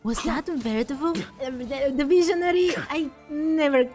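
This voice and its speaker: A woman with a fairly high voice gives casual commentary as she plays a video game. She reacts in short bursts, half to herself. Faint music runs underneath. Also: normal speed, darkish tone.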